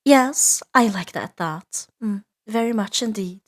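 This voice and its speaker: An imperious female demon, played by a woman for a roleplay audio drama, speaks at an unhurried pace in a mid-range voice. She is seductive and playful with an edge of menace, teasing her listener and sinking into breathy whispers. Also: medium pitch, expressive. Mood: sly, musing. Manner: slow teasing delivery, drifting into breathy whispers.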